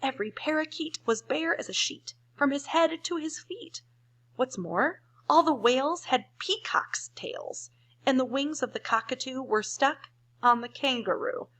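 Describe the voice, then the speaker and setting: A woman's voice: high-pitched, lively intonation, a little slow, a bit bright, full character voice. A woman voicing a flustered storybook bird, squawky, urgent and sing-song as it pleads. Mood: flustered pleading. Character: shrill, flustered storybook parrot and birds.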